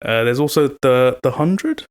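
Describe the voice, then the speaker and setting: A man's voice: mid-range voice, moderate pace. Teasing man co-hosting a podcast. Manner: teasing, animated storytelling. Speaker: podcast co-host. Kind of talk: casual chat.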